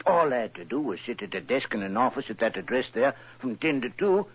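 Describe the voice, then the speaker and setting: An earnest, husky, homespun client. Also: male voice, mid-range voice, very animated, fairly quick. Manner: homely, earnest, rustic grammar. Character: plain-spoken middle-aged male pawnbroker client, earnest. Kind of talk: 1940s radio acting. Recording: muffled dry old radio recording.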